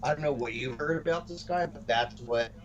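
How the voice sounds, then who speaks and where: A man co-hosting a casual wrestling storyline chat, speaking haltingly and often repeating words as he restarts phrases. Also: mid-range voice, a crowd in the background. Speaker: co-host of a wrestling podcast. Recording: muffled, noisy room recording.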